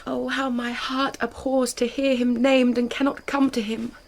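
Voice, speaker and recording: High-voiced, passionate young bride played by an actress. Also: female voice, little pitch movement, moderate pace, lightly acted. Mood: guarded grief. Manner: heightened, poetic, earnest delivery. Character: passionate, grief-stricken young noble bride. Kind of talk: classical Shakespearean radio drama acting.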